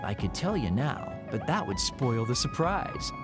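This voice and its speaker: A man's voice: mid-range voice, very animated, measured pace. An actor playing a teasing, world-weary immortal man in a video game cutscene. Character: burned-out, playful man two thousand years old, hollow inside. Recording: music behind the voice.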